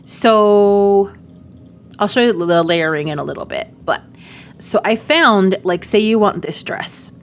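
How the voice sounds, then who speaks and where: A woman's voice: mid-range voice, unhurried, a little hiss or hum. A woman giving casual game demo commentary, chatty and informal, often thinking aloud as she goes. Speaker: gaming video creator.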